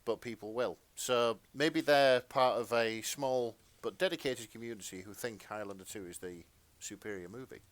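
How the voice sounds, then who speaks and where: Clear-voiced man, halting delivery. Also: mid-range voice. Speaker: podcast co-host. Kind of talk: casual chat.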